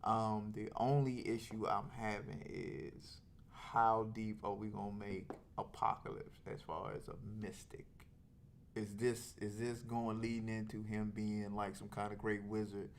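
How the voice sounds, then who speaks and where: Excitable man chatting about comics. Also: on the low side, unhurried, slight background noise. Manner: excitable, exclaiming, slangy. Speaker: comic book review co-host. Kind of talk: casual two-person review chat.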